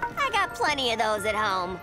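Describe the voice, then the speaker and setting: A woman's voice: on the high side, wildly swooping pitch, normal speed, loud music behind the voice. A woman playing a flippant, playful female antihero jester in a video game, sassy and teasing. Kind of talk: video game character acting.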